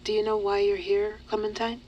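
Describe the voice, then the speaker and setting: A woman playing a calm, patient therapist in an audio drama, measured and reassuring but probing. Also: mid-range voice, lo-fi sound, lightly acted.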